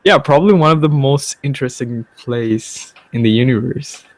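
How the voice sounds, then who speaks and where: Brief: young man, gravelly voice with plenty of fry, halting and repetitive, dry close sound. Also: mid-range voice, no room sound. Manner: halting, repeats words while searching, swears casually. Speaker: guest. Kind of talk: casual podcast chat.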